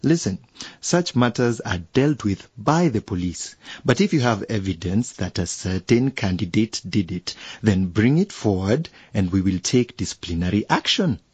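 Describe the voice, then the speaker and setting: Brusque, husky older official. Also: male voice, lowish voice, lively intonation, moderate pace, darkish tone, no room sound. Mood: dismissive. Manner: condescending, curt, officious. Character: brusque older male electoral commissioner, dismissive and irritable. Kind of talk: radio drama acting.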